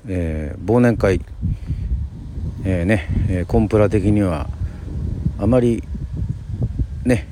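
A man from Yoron Island in Japan hosting and narrating, introducing a radio drama in a formal, deliberate announcer-like way with pauses. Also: fairly low pitch, background noise.

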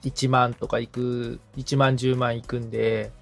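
A man's voice: mid-range voice, little pitch movement, unhurried, vocal fry, soft background music. A man chatting as a podcast assistant being coached, musing and asking questions in a casually polite, tentative way.

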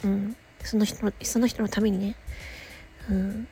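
A woman hosting a casual solo audio show, chatting playfully with self-mocking asides and a husky, slightly creaky voice. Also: mid-range voice, level tone, measured pace, dark tone, a little hiss or hum.

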